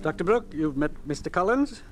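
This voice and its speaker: An actor playing a cold, domineering hospital director in an old radio drama, with a commanding, condescending manner. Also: male voice, on the high side, expressive, fairly quick, music in the background, lightly acted. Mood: brisk, official. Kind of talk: 1950s radio drama acting. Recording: old 1950s radio recording.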